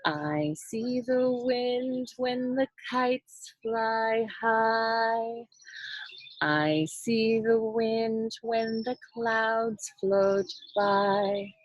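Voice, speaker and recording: A woman's voice: a little high, no echo, poor audio. A woman leading a children's sign language storytime, demonstrating and explaining as she goes. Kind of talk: sign language storytime lesson with singing and read-aloud.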